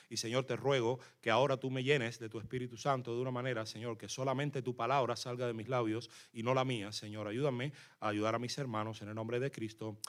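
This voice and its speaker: A man with a Cuban accent preaching a sermon in a fervent, emphatic way, often pausing on fillers. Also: mid-range voice, fairly bright tone. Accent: Cuban Spanish. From Cuba. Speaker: guest preacher.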